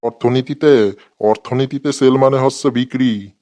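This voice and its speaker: A man's voice: medium pitch, steady tone, normal speed, warm tone, natural voice. A man as an exasperated scolding cartoon schoolteacher. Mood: patient, explaining. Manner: scolding, colloquial, sarcastic. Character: exasperated scolding male schoolteacher, comically threatening. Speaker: cartoon voice actor. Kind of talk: comedy cartoon dialogue.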